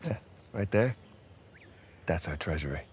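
A middle-aged man with an American accent playing a roguish, excitable older-brother treasure hunter in a video game, with a gravelly, teasing delivery. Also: low-pitched, a little slow, slight background noise. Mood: excited. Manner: teasing, casual, enthusiastic. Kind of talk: video game cutscene acting.

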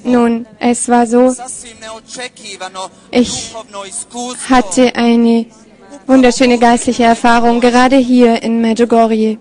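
Female live interpreter, higher-pitched, mellow, halting piecemeal delivery at an unhurried pace. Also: monotone, soft background music. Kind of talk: live consecutive interpreting of a testimony.

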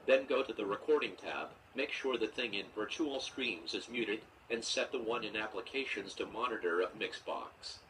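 A man's voice: on the low side, normal speed, few pauses, fairly bright tone. A synthetic male text-to-speech voice reading instructions aloud as a screen reader. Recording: distant, noisy playback from computer speaker.

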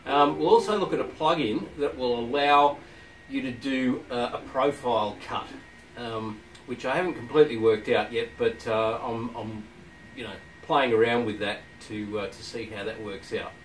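A middle-aged man with a blocked-up flu voice, casually walking through engraving software with lots of ums and uhs. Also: mid-range voice, normal speed, a little hiss or hum, poor audio. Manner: relaxed, hesitant, many ums and uhs. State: congested from flu. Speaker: channel host demonstrating laser engraving software. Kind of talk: casual tutorial walkthrough. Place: workshop office, indoors.

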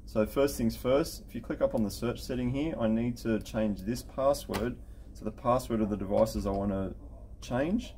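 Male tech instructor, middle-aged, dark relaxed tone, casual and practical step-by-step delivery, light background noise. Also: medium pitch, normal speed. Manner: informal, matter-of-fact instructional talk. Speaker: intercom installer giving a software setup tutorial. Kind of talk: casual step-by-step screen tutorial. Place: indoor office at a desk with computer.

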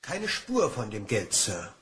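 An actor playing a respectful, earnest bank employee, formal and deferential, in a crime radio play. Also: male voice, on the low side, wildly swooping pitch, slow, a bit bright, exaggerated voice.

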